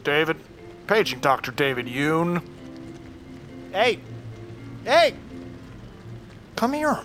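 High-pitched, brash survivalist guru. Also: male voice, huge pitch swings, slow pace, a bit bright, faint music behind, full character voice. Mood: jovial. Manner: showy, teasing, exuberant. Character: brash, jokey male survivalist breathing guru. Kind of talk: audio drama acting.

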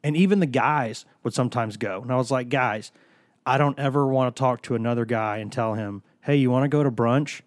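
A man's voice: mid-range voice, a little fast, no echo. A man hosting a casual sports podcast from a studio, talking while he has a cold, rambling and joking as he tells stories. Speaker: podcast host.

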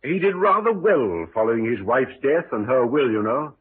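An actor playing a polite, wary middle-aged small-town businessman in a 1950s radio drama, courteous and a little halting. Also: mid-range voice, big pitch swings, husky, phone-quality sound. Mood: suspicious. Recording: thin, faintly noisy old radio recording.

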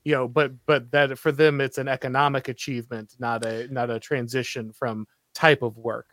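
American male host, wry sarcastic tone, mid pitch, dry close sound. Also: little pitch movement, normal speed, fairly bright tone, no room sound. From United States. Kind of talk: casual political discussion.

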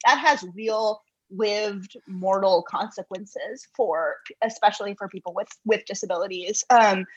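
A woman guest writer giving earnest, reflective interview answers in halting, carefully built phrases. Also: mid-range voice, husky, lo-fi sound.

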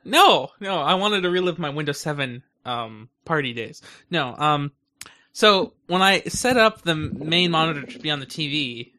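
A man co-hosting a casual podcast, talking informally in a halting, self-interrupting way. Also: a little high, lively intonation, pushed and bright. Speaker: podcast co-host.

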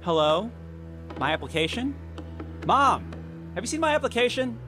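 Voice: mid-range voice, big pitch swings, moderate pace, over music, lightly acted. A performer playing a flustered, easily delighted job seeker in a comic advert.

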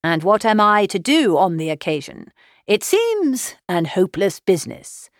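A woman reader plays a middle-aged father from a classic novel. He is unruffled and sardonic, speaking in formal, measured phrases with a deadpan edge. The recording is dry and free of room sound. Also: mid-range voice, no room sound, lightly acted. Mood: unconcerned. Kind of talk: audiobook character voice, classic novel.

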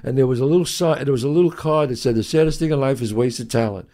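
Animated New storyteller in his 60s. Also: mid-range voice, fast talker. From New York. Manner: animated storyteller who acts out quotes. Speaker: guest, actor and writer. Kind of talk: storytelling in an interview.

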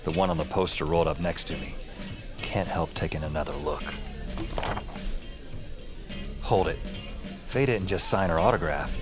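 A man's voice: rumbling low, fairly quick, music in the background. An actor playing a world-weary, wisecracking drinker who narrates his own nights in an audio drama, with a sardonic, wry delivery. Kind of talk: first-person audio drama narration and dialogue.

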